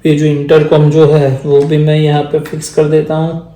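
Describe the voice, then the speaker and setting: Man with flat, echoey tutorial delivery. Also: on the high side, normal speed, slight background noise. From India. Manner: explains step by step while working. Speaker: moto vlogger giving a setup tutorial. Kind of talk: casual hands-on tutorial in Hindi mixed with English phrases.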